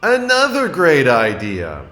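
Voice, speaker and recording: A man's voice: a little high, wildly swooping pitch, slow pace, bright, forceful tone, voice pushed up, exaggerated voice. A man voicing an earnest, indecisive young turtle boy in a children's storybook, with a wondering, childlike delivery. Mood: eager. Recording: roomy echo, noticeable background noise.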